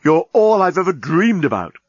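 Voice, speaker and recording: A man's voice: on the high side, very animated, normal speed, husky, no echo, muffled recording, voice pushed up. A man voicing an amorous, single-minded male alien journalist in a comic audiobook, gushing and pleading. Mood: smitten. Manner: gushing, wheedling.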